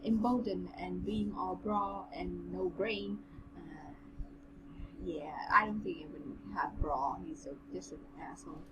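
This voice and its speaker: A woman's voice: fairly low pitch, unhurried, background noise. A woman co-hosting a novel read-along, reading aloud and commenting in an informal, playful way. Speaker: co-host and reader.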